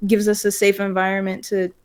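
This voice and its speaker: A woman's voice: medium pitch, completely flat delivery, fairly quick, clean tone. A young woman guest in a casual interview, agreeable and reflective, often saying "um" and backing up the host. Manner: agreeable, backchannels often, uses "um" and "I would say". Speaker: guest, sim racer.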